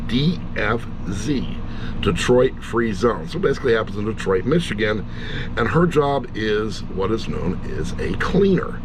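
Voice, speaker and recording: A middle-aged or older man giving a casual, rambling review in a wry, self-deprecating way, as the host of his own channel. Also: lowish voice. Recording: steady loud air-conditioner-like background hum.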